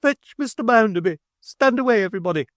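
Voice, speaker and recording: A man reading aloud and voicing a pompous, proper middle-aged woman who is triumphant and officious, in a high, overbearing voice. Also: expressive, fast talker, fairly bright tone, no echo, clean studio sound. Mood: exulting. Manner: shrill, high, stately and overbearing.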